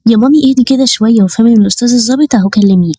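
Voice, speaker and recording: Brief: a woman playing a blunt, cheeky young Egyptian woman in a storybook tale, fast and mellow. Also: a little high, slightly rough, no echo, clean studio sound. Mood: carefree.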